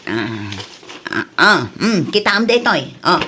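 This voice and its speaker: A woman's voice: lowish voice, sing-song, takes it slowly, bright, forceful tone, slight background noise, exaggerated voice. A woman with an Ilocano accent acting an insistent, spendthrift young mother in an audio drama. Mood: demanding.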